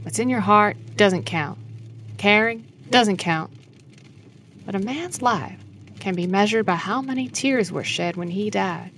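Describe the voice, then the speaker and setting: A woman's voice: medium pitch, unhurried, fairly bright tone, a little hiss or hum. A woman playing an older wife and grieving mother, frank and consoling, in an audio drama. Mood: sombre, consoling.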